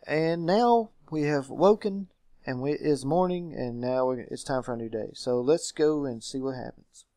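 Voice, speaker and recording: A man's voice: medium pitch, normal speed, dark tone, no room sound, studio quality. A man giving casual let's play commentary as he reads through a visual novel game. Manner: reads game text aloud in character voices. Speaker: let's player.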